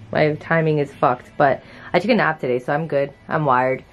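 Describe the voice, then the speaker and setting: A woman's voice: deep voice, moderate pace. A young woman vlogging from her small bedroom, talking to a handheld camera in a rambling, chatty way and swearing freely. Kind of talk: casual chat to camera. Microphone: handheld camera at arm's length.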